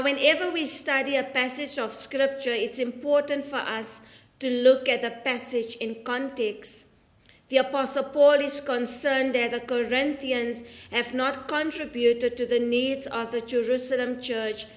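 Slow-paced woman preaching. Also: high voice, fairly even intonation. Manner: measured, deliberate, earnest. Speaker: Anglican priest preaching. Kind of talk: sermon, read from prepared text. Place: inside a church, at the altar. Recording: echoey church acoustic.

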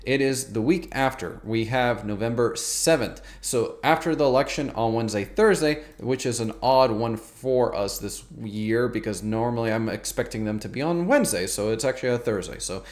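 A man's voice: mid-range voice, lively intonation, moderate pace, hardly any pauses. A man in his thirties giving emphatic, colloquial market commentary to camera as a YouTube host, often repeating words for stress.

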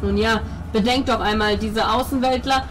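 A woman's voice: fairly high pitch, steady tone, moderate pace, slight background noise, close to an everyday voice. A woman voicing a devout, pompous male priest in tabletop roleplay, explaining at length with hesitations and pious flourishes. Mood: patient explaining.

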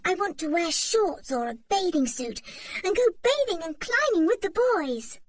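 A woman reading aloud, voicing an eager, excitable little girl in a children's storybook. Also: extremely high pitch, moderate pace, a little hiss or hum, pitched above the performer's own voice.

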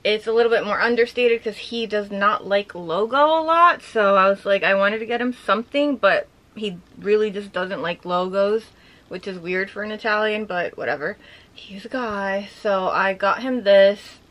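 A young woman chatting casually as she shows off items from her collection, gushing with lots of filler words and a slightly hoarse voice. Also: mid-range voice, normal speed, a bit bright. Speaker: vlogger showing her collection. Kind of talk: casual show-and-tell chat.

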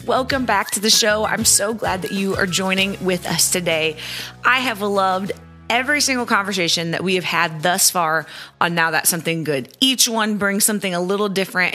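A woman's voice: lowish voice, sharp tone. A woman hosting an interview podcast, upbeat and enthusiastic, laughing often as she talks with her guest. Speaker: podcast host.

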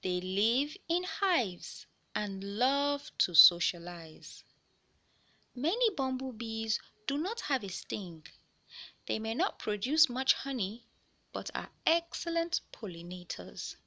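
A woman narrates a children's picture book with a very animated, swooping intonation. Her voice is bright and clear-cut, and she takes her time over each phrase. The delivery is that of an expressive storyteller explaining things to young listeners. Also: fairly high pitch, takes it slowly. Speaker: read-aloud narrator of children's books.

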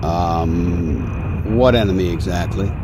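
A man's voice: sits low, slow pace, noisy background. An actor playing a bewildered, hesitant airman who stammers, in a satirical radio play. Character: bewildered, hesitant airman doubting his mission.

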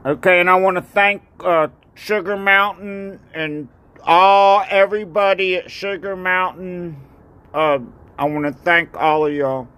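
Older man, phone video outdoors; slow, halting, filler-heavy delivery, slightly raised pitch. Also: steady tone, pushed and bright, clean tone. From North Carolina. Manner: rambling and halting, with many fillers and repeated thanks. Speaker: ski racer and video maker. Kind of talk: casual home video. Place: outdoor porch. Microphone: phone camera at arm's length.

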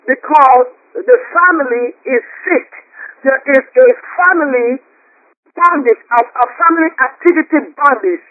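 A woman with a Puerto Rican accent delivers a fervent, repetitive sermon, stumbling over restarts as she builds. Her voice sits very high and comes through a narrow, tinny phone line. There is no room sound around it at all. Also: expressive, unhurried, no room sound. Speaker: preacher on a prayer line.

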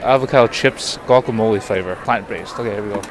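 A man's voice: fairly low pitch, quick pace. A man in his thirties hosting a casual tasting video, talking through each bite as he goes. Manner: chatty, reacts aloud while tasting. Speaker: host. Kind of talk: casual food-tasting street interview. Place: busy indoor expo hall. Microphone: handheld mic. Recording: crowd chatter and hall noise behind.

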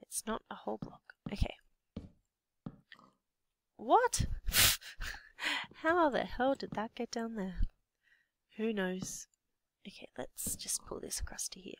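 Croaky Australian woman muttering over gameplay. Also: a little high, animated, takes it slowly, long pauses, fairly bright tone, no room sound. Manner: muttering to herself, often half-whispered. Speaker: let's play gamer. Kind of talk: casual gameplay commentary.

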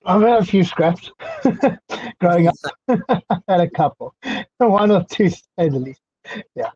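A man's voice: high-pitched, unhurried, slight background noise. A man in his thirties with a South African accent, talking casually as a guest over a headset on a video call from a small indoor room. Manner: relaxed, chatty, laughs easily. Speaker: guest fighter. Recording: muffled lo-fi call audio.

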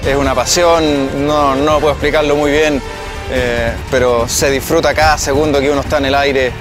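A man's voice: medium pitch, level tone, moderate pace, music in the background. A glider pilot in his thirties speaking earnestly and from the heart in a short interview. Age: 30s.

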